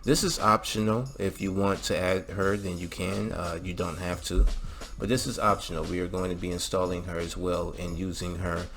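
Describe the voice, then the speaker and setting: A man's voice: sits low, fairly even intonation, normal speed, fairly bright tone, a little hiss or hum. A man narrating a casual step-by-step tutorial as a channel host, with an informal, instructional way of talking. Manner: informal, instructional, often tagging phrases with "all right" and "okay". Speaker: host of a game-modding channel. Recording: music playing behind the voice. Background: background music.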